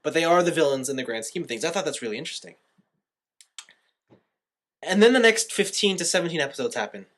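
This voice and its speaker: A man co-hosting a casual anime review podcast, animated and opinionated, griping in exasperation and swearing freely. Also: a little high, fast, long pauses. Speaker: podcast host.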